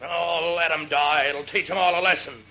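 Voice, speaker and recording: An actor playing a hateful middle-aged gang leader, ranting in venomous, gravelly oratory in the style of 1940s radio drama. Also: male voice, on the high side, fairly even intonation, moderate pace, over a noisy crowd. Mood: callous. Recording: dull lo-fi 1940s radio recording.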